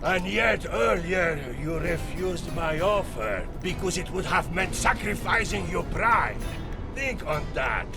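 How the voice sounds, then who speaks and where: An actor playing a vain, scheming megalomaniac count in a video game, declaiming in a bombastic, grandiose way. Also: male voice, high-pitched, very animated, takes it slowly, creaky, music in the background, exaggerated voice. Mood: gloating. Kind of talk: theatrical video game villain acting.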